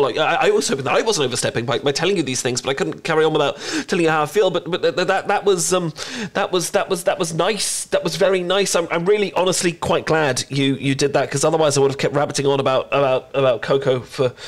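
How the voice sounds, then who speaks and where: A man's voice: a little high, lightly acted. A man with a British accent playing an adorkable, shy young chocolatier in an intimate ASMR romance roleplay, stammering and rambling awkwardly. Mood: startled, delighted. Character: adorkable, bashful young male chocolatier confessing a crush.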